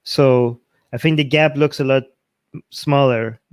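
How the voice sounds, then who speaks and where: Middle-aged guy joking about himself on a live q&a, halting with lots of fillers, dry close mic. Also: medium pitch, steady tone, clear, resonant tone, no room sound. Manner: playful, self-mocking, halting with many fillers. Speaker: co-host. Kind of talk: casual live Q&A chat.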